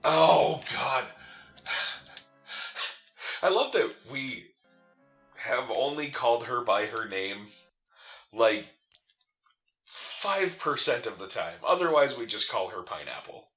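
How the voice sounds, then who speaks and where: A man with a bright, forceful voice chats casually as he co-hosts a video game playthrough. He jokes freely and runs himself down for fun. Faint music plays behind him. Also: mid-range voice, very animated, takes it slowly, long pauses. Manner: joking, self-deprecating. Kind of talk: casual banter over gameplay.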